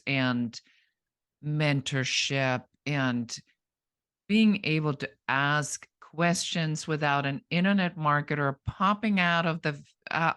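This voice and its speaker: A woman presenting and interviewing as a podcast host, phrasing things deliberately and addressing people directly. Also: sits low, fairly bright tone, clear, resonant tone.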